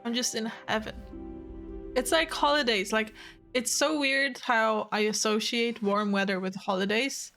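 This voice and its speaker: A woman's voice: on the high side, fairly even intonation, measured pace, pushed and bright, faint music behind. Easygoing woman chatting on a stream. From Ireland. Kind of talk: casual chat.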